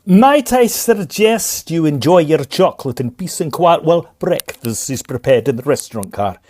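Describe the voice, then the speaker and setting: A man's voice: on the high side, animated, normal speed, darkish tone, natural voice. A man playing a cheerful, folksy, reassuring Victorian train conductor in a farcical audio drama. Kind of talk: audio drama farce acting.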